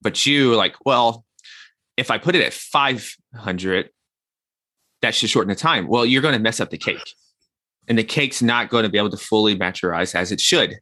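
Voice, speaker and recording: A man in his thirties with a lowish voice and a bright, forceful edge to it. He talks as an interview guest and works through his points with homely comparisons. The sound is close and dry. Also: normal speed, no room sound. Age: 30s. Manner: reflective, uses everyday analogies. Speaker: guest, health consultant. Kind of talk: conversational interview.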